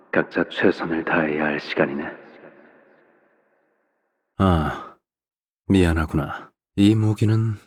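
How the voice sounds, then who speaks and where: A man playing a calm, cryptic, quietly menacing fatherly game character, speaking softly in measured, unhurried phrases. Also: deep voice. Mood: coolly condescending. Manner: soft-spoken, unhurried, measured, pausing between phrases. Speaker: video game character voice actor. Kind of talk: game character voice lines, slow theatrical monologue. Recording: long reverb on the voice.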